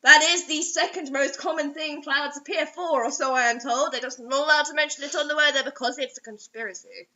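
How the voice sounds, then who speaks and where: A woman's voice: high voice, brisk, hardly any pauses, pushed and bright, highs cut off. A woman giving a comedic, mock-dramatic reading of a story, breaking off for sarcastic banter as a co-host.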